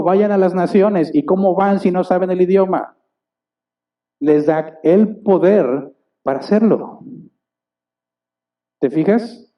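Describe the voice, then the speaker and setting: Male pastor, higher voice, preaching a sermon with rhetorical questions; mic set back, dull lo-fi recording. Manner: asks the congregation rhetorical questions; acts out little dialogues. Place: church hall. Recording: distant, dull church recording.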